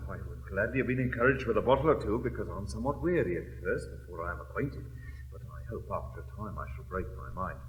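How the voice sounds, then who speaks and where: An actor playing a bashful, blustering country squire in a period comedy, rambling and repeating himself in an English accent. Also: male voice, on the low side, lively intonation, fast, slight background noise, lightly acted, rough recording. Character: bashful, blustering, good-natured country squire, clumsy suitor. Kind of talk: classic stage comedy acting.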